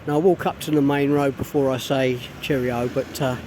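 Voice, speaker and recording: A man casually vlogging as he walks outdoors along a city street, rambling with pauses and restarts, his voice a little hoarse. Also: medium pitch, steady tone. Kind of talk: casual walking vlog. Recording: street traffic noise behind. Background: traffic noise.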